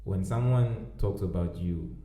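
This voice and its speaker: A man's voice: sits low, measured pace, warm tone. A man from Namibia hosting a podcast in laid-back casual conversation, laughing often and swearing now and then. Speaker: podcast host. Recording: echoey room with faint ambience.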